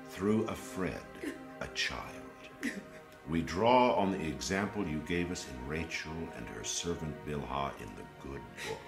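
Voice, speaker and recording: An actor playing a solemn, pious commander of an oppressive regime in an audio drama, speaking in a slow, ceremonial, declamatory way. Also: male voice, a bass voice, vocal fry, heavily acted.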